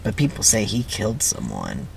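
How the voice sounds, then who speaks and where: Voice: low-pitched, huge pitch swings, normal speed, vocal fry, darkish tone, slight background noise, pitched below the performer's own voice, exaggerated voice. A person voicing a cocky fifteen-year-old boy camper in a dramatic reading, slangy and know-it-all.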